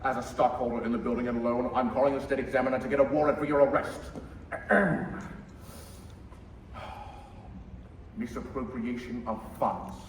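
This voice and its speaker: A young man playing a cruel, gloating old banker in a stage radio play, pompous, deliberate and sneering. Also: medium pitch, fairly even intonation, close to an everyday voice. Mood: gloating menace. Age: teenager or young adult. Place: school theater stage. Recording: echoey stage, distant mic, faint noise.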